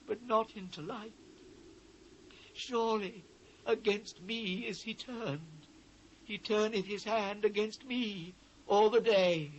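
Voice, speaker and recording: Slow, high-pitched British prophet in lament. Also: male voice, a bit bright, poor audio. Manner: solemn, declamatory, measured phrasing. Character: anguished biblical prophet lamenting a fallen city. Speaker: actor reading scripture. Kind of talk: dramatised scripture reading, theatrical. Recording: faint noise, old cassette transfer.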